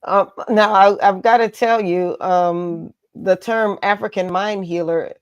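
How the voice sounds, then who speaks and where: A woman's voice: mid-range voice, fairly even intonation, slow, smooth, no echo, muffled recording. A woman hosting a conversational interview, affirming her guest and feeling out her phrasing as she goes. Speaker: interview host.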